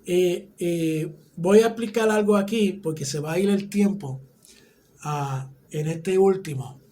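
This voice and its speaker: Un hombre con acento puertorriqueño que enseña una clase bíblica, explicando paso a paso y preguntando "¿ok?" a menudo. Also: male voice, sits high, a little slow, warm tone. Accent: Puerto Rican Spanish. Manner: explanatory, often checks in with "¿ok?". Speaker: Bible teacher. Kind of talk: teaching a Bible class.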